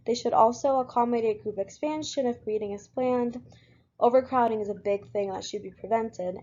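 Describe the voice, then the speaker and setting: Young woman student from Puerto Rico, warm husky voice, earnest presenter, lo-fi recording. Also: medium pitch. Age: young adult. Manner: steady, earnest delivery with occasional fillers. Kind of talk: reading a class presentation.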